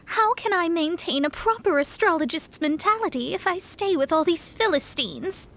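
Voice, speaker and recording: A woman's voice: extremely high pitch, lively intonation, normal speed, fairly bright tone, full character voice. A woman voicing a proud young astrologist in a video game, haughty and theatrical. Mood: exasperated. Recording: game music behind the voice.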